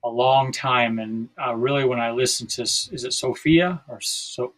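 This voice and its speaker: A man's voice: medium pitch, normal speed, fairly bright tone, low-quality recording. An American man in his sixties from South Dakota, talking casually and reflectively as a podcast guest, often searching for his words. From South Dakota, United States.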